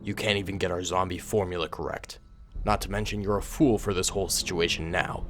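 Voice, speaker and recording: A man voicing a cold, irritated dark warlord in a fan space story, muttering his threats flatly. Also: a little fast, slight background noise, natural voice. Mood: irritated, menacing. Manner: low, flat, grumbling threats. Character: cold, menacing male Sith warlord, weary and irritated.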